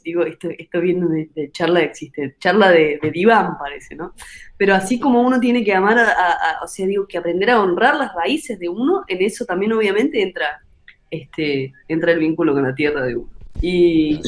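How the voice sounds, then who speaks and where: A young adult woman with an Argentine accent, a singer-songwriter from Córdoba answering interview questions in a thoughtful way, often searching for words and restarting phrases. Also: medium pitch. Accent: Argentine Spanish. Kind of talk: reflective interview talk.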